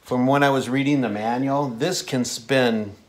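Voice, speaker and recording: A man in his forties giving a casual how-to explanation to camera in a plain, unhurried, halting way. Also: mid-range voice, steady tone. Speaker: DIY video host. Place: inside a small unfinished wooden cabin with a steel ceiling.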